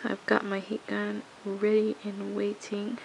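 Woman with a cold doing a craft tutorial at home, kinda flat slow voice, rambly, lots of ums. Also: mid-range voice, vocal fry, a little hiss or hum, low-quality recording. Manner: rambling, halting, with many ums and restarts. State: sniffly with a cold. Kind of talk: casual hands-on tutorial. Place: home craft table, indoors.

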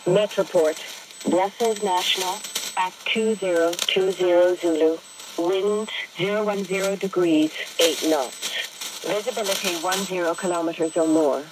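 A woman reading formulaic aviation weather reports in clipped, segmented, impersonal phrases over shortwave radio. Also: fairly low pitch. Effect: shortwave single-sideband radio. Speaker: aviation weather broadcast announcer. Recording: noisy shortwave radio reception. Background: radio static and noise.

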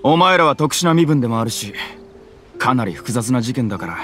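Brief: male actor as a stern game officer, formal and commanding, lively intonation, game music under him. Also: medium pitch, brisk, natural voice. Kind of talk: game cutscene voice acting. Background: soft game music.

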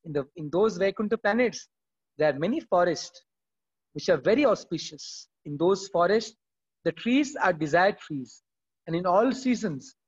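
A man, reading verses aloud and then explaining them with animated emphasis in a scripture class held over a video call. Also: sits high, slightly rough. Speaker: class speaker. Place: indoor room at home. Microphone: video call, laptop or phone camera. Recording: dull lo-fi video call audio.

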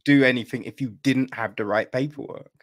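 A man's voice: medium pitch, steady tone, fairly quick. An older man hosting a casual podcast interview, speaking informally with hesitant phrasing. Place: indoor room at home, on a video call. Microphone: video call.

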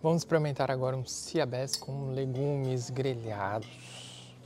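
A man's voice: mid-range voice, a little slow, soft background music. A young man, casually tasting and commenting on dishes in a restaurant, on a lapel mic. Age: young adult. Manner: relaxed, tasting aloud. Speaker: vlogger's husband. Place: restaurant terrace, indoors.

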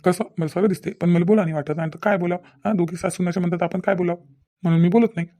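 A man's voice: high-pitched, fairly even intonation, fast, dark tone, lightly acted. A man playing a distrustful middle-aged village father-in-law in a cartoon comedy, nagging sceptically and repetitively with a Vidarbha Marathi accent. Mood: grumbling. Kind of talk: cartoon family comedy dialogue.

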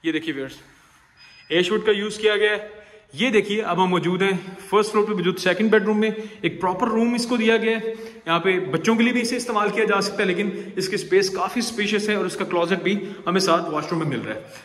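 A man's voice: high voice, fairly quick, fairly bright tone. A man with a Pakistani Urdu accent walking a camera through an empty house, narrating a property tour in an upbeat salesman's patter with English phrases mixed in. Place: empty house, large tiled rooms indoors. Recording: echoey empty rooms.

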